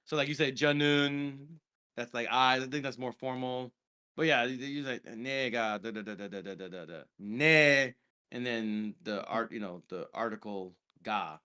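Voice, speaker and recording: A man's voice: medium pitch, normal speed, bright, forceful tone, clean tone. A man, co-hosting a casual film review chat and explaining at length with many restarts. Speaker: podcast co-host.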